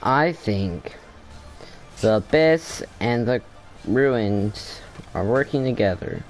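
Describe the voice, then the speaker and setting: A man's voice: mid-range voice, expressive, slow pace, poor audio. A man giving casual let's play commentary, musing aloud in a hesitant way. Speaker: gaming video creator.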